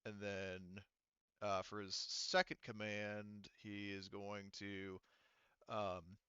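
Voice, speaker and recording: A man running an online tabletop game as game master, explaining rules haltingly as he thinks aloud. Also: lowish voice, flat delivery, bright, forceful tone, smooth, no echo, muffled recording.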